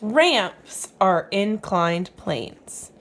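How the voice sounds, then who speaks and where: A woman's voice: medium pitch, sing-song, drawn-out words, a bit bright. A woman reading aloud to young children as a teacher would, explaining as she goes and putting questions to the listener. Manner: instructive, asks the listener questions.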